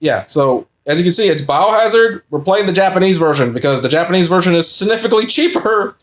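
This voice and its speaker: A man's voice: high-pitched, expressive, fast. A young man in his twenties co-hosting a gameplay video, chatting casually with laughs and rambling restarts. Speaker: let's play co-host.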